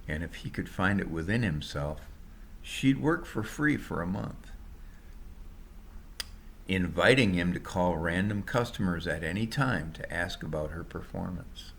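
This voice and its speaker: A man's voice: deep voice, animated, unhurried, gravelly, fairly bright tone. A man reading his own written story aloud as a scripted narration. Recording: noticeable background noise.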